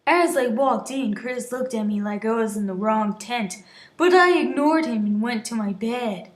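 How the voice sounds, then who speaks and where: A woman's voice: fairly high pitch, normal speed. A woman giving an amateur dramatic reading of a story, stumbling over words and slipping into casual, giggly asides. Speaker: reader of an amateur online story. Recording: roomy, echoey voice recording.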